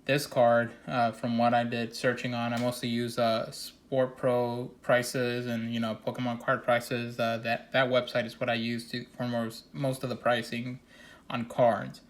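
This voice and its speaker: Congested man, flat steady delivery. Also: mid-range voice, unhurried. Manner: steady, earnest, repeats opinions with many fillers. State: congested, slightly nasal. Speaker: card collecting channel host. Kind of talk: casual card showcase vlog.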